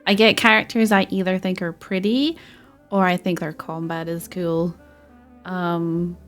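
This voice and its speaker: A woman's voice: lowish voice, steady tone, a little slow. A woman game streamer chatting casually and somewhat apologetically while playing. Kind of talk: casual livestream chat while gaming. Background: faint game music.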